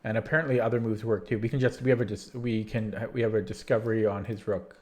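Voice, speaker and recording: A man's voice: lowish voice, fairly even intonation, quick pace, darkish tone. Tired man streaming chess puzzles. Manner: tired, rambling, many restarts and fillers. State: losing his voice. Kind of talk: casual live-stream chat.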